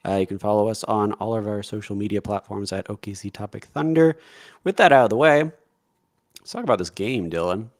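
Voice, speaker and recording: A man with a fairly low voice hosting a sports podcast from a room in his home. He talks in a relaxed, rambling way, and the sound is close and dry. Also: a little fast, no echo. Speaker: podcast host. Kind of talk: casual sports podcast chat. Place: home room by back door.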